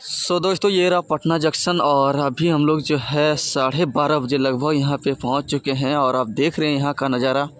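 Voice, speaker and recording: Young man, higher voice, chatty travel vlogger, outdoor railway station, phone at arm's length. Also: fairly even intonation, moderate pace, hardly any pauses. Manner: chatty, addresses viewers as friends. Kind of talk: casual travel vlog narration.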